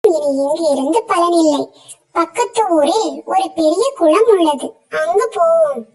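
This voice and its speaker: A woman's voice: extremely high pitch, expressive, a little slow, a little mellow, far from the mic. A woman voicing a young duck in a children's moral story, practical and cautioning, with a thin childlike voice. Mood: urging.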